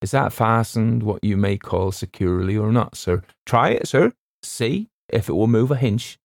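A man's voice: fairly low pitch, animated, moderate pace, gravelly, dry recording, studio quality. A man voicing a nervous, servile ship's steward, insistent and colloquial, in a storybook reading. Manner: faltering, deferential, colloquial.

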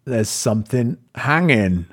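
A man voicing a gruff, fed-up workman with blunt, agitated delivery in a horror story. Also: mid-range voice, expressive, slow, no echo, clean studio sound. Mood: frightened. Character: gruff, fed-up workman investigating a dark cellar. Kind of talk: acted dialogue in a horror story.

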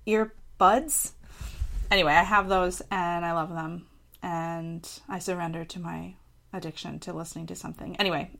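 A woman's voice: on the low side, a bit bright. A woman reading her own personal essay aloud for a podcast, reflective and candid, with a lightly self-amused, conversational touch. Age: adult parent of a young child. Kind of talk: personal essay read aloud with casual asides.

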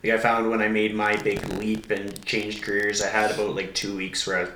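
A male podcast host talks in a supportive, casual way. His voice is on the lower side and bright. It sounds roomy, with a clear echo around it. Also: completely flat delivery, fairly quick, slight background noise. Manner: supportive, relates his own experience. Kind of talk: casual interview chat.

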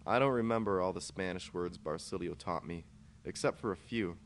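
Low-key man reading a story, noisy recording. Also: normal speed. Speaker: author reading his own short story. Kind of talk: scripted first-person story narration.

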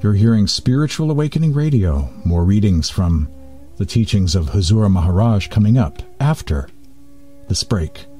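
A man hosting a spiritual radio talk, reading and explaining teachings in deliberate, short phrases. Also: lowish voice, lively intonation, mellow, faint music behind. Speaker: radio host.

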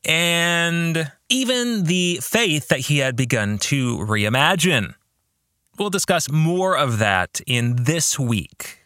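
A middle-aged American man hosting a scripted podcast in an upbeat, earnest way with emphatic phrasing, close on the microphone. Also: a little high. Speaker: podcast host, author and former pastor.

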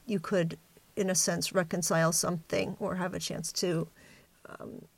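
A woman's voice: lowish voice, little pitch movement, normal speed, creaky, husky, no room sound. Tentative woman interviewer, very close dry sound. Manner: tentative, thinking aloud. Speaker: podcast host, interviewer. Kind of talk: reflective interview conversation.

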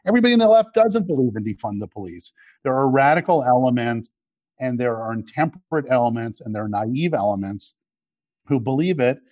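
A middle-aged man in a conversational political discussion, arguing in a halting, reflective way. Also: mid-range voice, expressive, a little mellow, no echo. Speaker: interview guest.

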